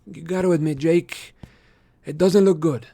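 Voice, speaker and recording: A man voicing a quick, sarcastic teenage boy. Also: a little high, steady tone, gravelly, mellow, voice pushed up.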